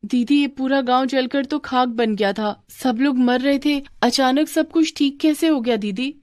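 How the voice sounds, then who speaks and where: A woman's voice: high voice, a little slow, a little mellow, no echo, studio quality, pitched above the performer's own voice. A woman voicing a pampered young younger sister in a cartoon story, dramatic and complaining. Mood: alarmed, bewildered. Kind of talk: cartoon moral story acting.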